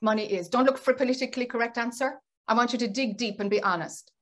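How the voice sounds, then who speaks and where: Direct middle-aged woman, higher-pitched voice. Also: quick pace, a bit bright. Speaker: webinar co-host. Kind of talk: informal guided group facilitation. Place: home room with bookshelves, indoors. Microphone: video call.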